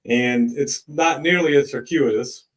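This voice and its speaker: A man's voice: medium pitch, rough recording. Man questioning a witness in court. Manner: deliberate, leading questions with tag endings. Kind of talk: courtroom cross-examination.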